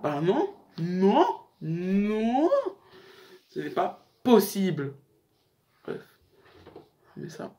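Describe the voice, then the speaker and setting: A man's voice: sits high, sing-song, very slow, long pauses, dark tone. A young man hosting a casual YouTube countdown, talking in a slangy, joking, rambling way. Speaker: YouTube host. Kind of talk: casual chat to camera, countdown list.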